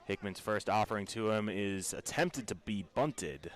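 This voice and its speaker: A man with an American accent calling baseball play-by-play for radio in a measured, descriptive storytelling way. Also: fairly low pitch, expressive. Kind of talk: radio sports commentary.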